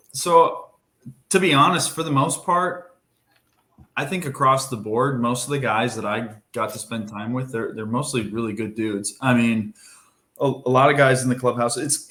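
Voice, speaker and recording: A young man from Minnesota, a baseball pitcher, talking casually in a podcast interview, easygoing and rambling with fillers. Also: a bit bright, roomy sound.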